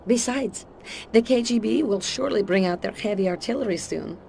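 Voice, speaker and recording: A woman's voice: mid-range voice, a little fast, vocal fry, warm tone, a little hiss or hum, natural voice. An actress playing a self-assured, teasing female spy in a video game, with a flirtatious manner. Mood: coy, teasing. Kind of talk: video game cutscene acting.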